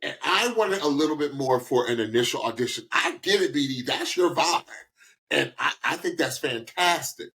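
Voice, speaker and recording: A man speaks as a podcast host in a loose, informal style. His pitch moves up and down a lot as he gives opinions, and he leans on repeated words to press his point. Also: normal speed, vocal fry. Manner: animated, emphatic, informal, repeats words for emphasis. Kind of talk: casual reaction podcast talk, giving opinions.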